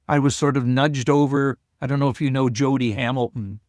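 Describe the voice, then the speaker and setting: Older male guest, shy, halting storyteller, mid pitch, dry close sound. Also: steady tone, a little mellow, no echo. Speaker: guest, COPD advocate. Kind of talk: casual interview talk.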